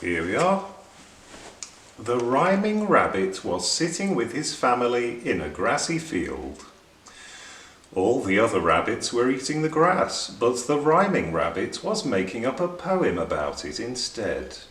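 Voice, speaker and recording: A man reading a children's story aloud in an expressive, deliberate narrating style. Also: medium pitch. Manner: deliberate, clearly phrased for children. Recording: roomy echo, distant microphone.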